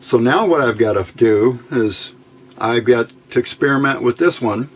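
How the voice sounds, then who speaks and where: A middle-aged or older man casually explaining technical details as a hobbyist, halting and thinking aloud, recorded indoors at a worktable. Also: medium pitch, slightly rough. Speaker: hobbyist radio builder giving a tutorial. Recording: noisy amateur home recording.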